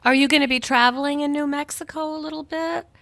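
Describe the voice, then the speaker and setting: An older woman hosting a podcast interview, effusive and quick with exclaimed reactions. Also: sits high, steady tone, creaky, fairly bright tone, no room sound. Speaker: podcast host. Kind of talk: casual interview.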